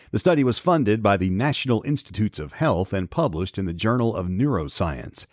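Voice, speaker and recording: A middle-aged man hosting a solo podcast, slightly hoarse, chatting conversationally with many ums and restarts as he reads news aloud. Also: medium pitch, a little mellow, no echo, clean studio sound. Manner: conversational, repeats words and restarts, frequent ums. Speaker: podcast host.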